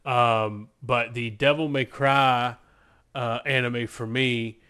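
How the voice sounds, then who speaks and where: A man's voice: medium pitch, steady tone, slow pace, bright, forceful tone, clear, resonant tone. A man co-hosting a podcast, chatting casually and giving his opinions with enthusiasm and emphasis. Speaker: podcast co-host. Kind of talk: casual podcast chat about games and films.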